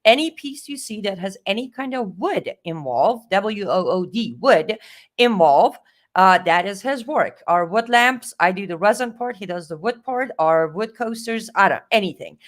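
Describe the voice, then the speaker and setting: A woman with a Middle Eastern accent co-hosting a live stream, talking in a lively, emphatic way. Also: mid-range voice, normal speed. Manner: animated, talkative, emphatic. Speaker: co-host. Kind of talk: casual live-stream commentary.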